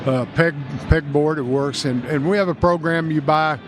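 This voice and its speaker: A man's voice: on the high side, normal speed, background noise. An older man with a Kentucky accent, talking in a rambling, enthusiastic way as a guest at a trade show. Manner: folksy, rambling, enthusiastic salesman. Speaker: guest, music store owner. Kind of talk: casual trade-show interview.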